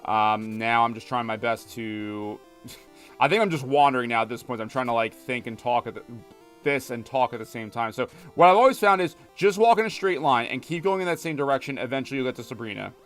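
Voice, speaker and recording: A man's voice: mid-range voice, a bit bright. A young man live-streaming a game, rambling casually and joking with his chat. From United States. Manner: rambling, talkative, many fillers, reads chat aloud and jokes. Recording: music playing behind.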